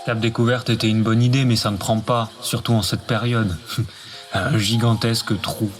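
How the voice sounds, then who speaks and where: A man's voice: medium pitch, flat delivery, moderate pace. A man delivering a scripted, poetic radio monologue with music behind him. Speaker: narrator.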